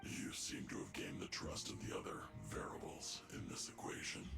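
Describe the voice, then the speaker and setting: An actor playing a menacing, calculating villain in a video game, with a gravelly, sometimes breathy voice and a smug, condescending manner. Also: male voice, a bass voice, flat delivery, moderate pace, reverberant, in a whisper, music in the background, full character voice. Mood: smug menace. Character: menacing, calculating male villain of a tech-stealing faction.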